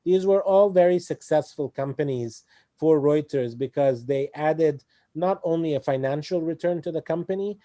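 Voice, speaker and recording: Man, forties, from Egypt, very mellow dark voice, hesitant, lots of fillers. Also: on the high side, normal speed, no echo, studio quality. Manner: hesitant, many fillers and restarts. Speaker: interview guest. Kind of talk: conversational interview.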